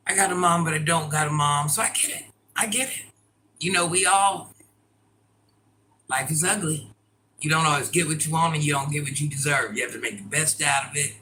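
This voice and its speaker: A middle-aged woman with a deep voice, chatting casually and bluntly as she answers viewer comments in a livestream. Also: bright, forceful tone, a little hiss or hum, poor audio. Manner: blunt, sassy, talks straight to commenters.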